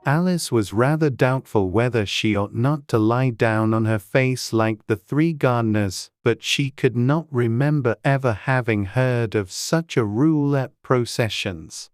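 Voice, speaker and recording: A man's voice: medium pitch, measured pace, hardly any pauses, no echo, studio quality. A man reading scripted storybook narration as an audiobook narrator. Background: faint music.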